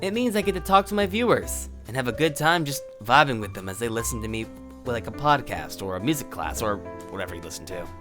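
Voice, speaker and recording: A woman's voice: extremely low pitch, big pitch swings, quick pace, a bit bright, faint music behind, exaggerated voice. A woman playing a laid-back, friendly young streamer with a casual, slangy delivery in a fan audio drama. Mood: relaxed.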